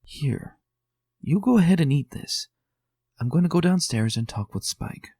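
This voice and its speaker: Gentle young unicorn mare played by a man, raised pitch, soft and comforting, gravelly edge, dry close sound. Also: animated, a little slow, dry recording. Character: gentle young female unicorn pony, kind.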